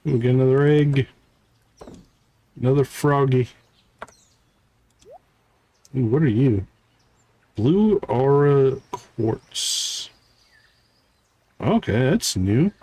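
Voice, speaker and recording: A man's voice: mid-range voice, expressive, very slow, long pauses, mellow, slightly rough. A man hosting a gaming livestream, thinking aloud in casual, chatty commentary as he plays.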